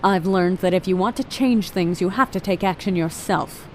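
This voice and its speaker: A woman's voice: on the low side, moderate pace, slight background noise, close to an everyday voice. A woman voicing a cold, scheming middle-aged mother who is a murderer, in an amateur radio drama, speaking with haughty disdain.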